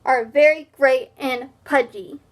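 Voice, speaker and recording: A woman voicing a brash male elephant bully in a children's storybook, loud, emphatic and taunting. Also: high voice, fairly even intonation, slow pace. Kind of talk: children's storybook read-aloud.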